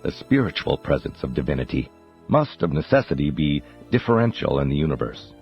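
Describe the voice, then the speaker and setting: A man reading a spiritual text as a professional audiobook narrator, in a solemn, measured, declamatory manner. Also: rumbling low, very animated. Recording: dull muffled audio under music. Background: background music.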